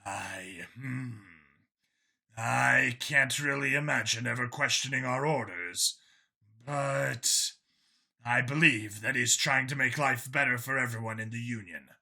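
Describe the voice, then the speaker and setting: A man's voice: mid-range voice, a little slow, gravelly, pushed and bright, full character voice. A man voicing a loyal satyr soldier with a hesitant, subdued way of speaking in an audiobook. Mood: hesitant, sincere. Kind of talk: audiobook character dialogue.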